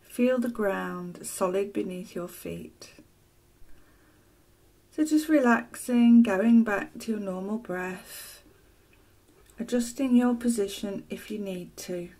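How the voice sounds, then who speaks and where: A woman narrating a guided meditation as a teacher, soft and soothing, with gentle, deliberate phrasing and long pauses. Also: mid-range voice. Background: ocean waves sound loop.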